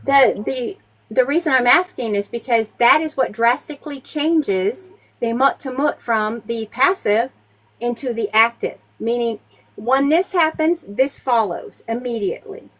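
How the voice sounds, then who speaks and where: Woman, higher voice, pointed technical questions, talks over others. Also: unhurried, fairly bright tone, poor audio. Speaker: debate judge and questioner. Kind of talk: live online debate.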